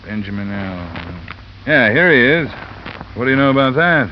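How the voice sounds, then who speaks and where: An actor playing a gruff, sceptical, unhurried police sergeant in 1950s radio detective drama style, matter-of-fact and muttering. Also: male voice, mid-range voice, big pitch swings. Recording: muffled, noisy vintage radio recording.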